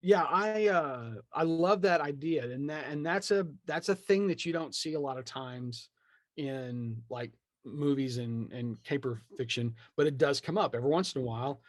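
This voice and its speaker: A man's voice: on the high side, normal speed, dry recording. Wry man chatting, close dry sound. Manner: animated storyteller, acts out imagined remarks. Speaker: guest, tabletop game writer. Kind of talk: casual conversational interview.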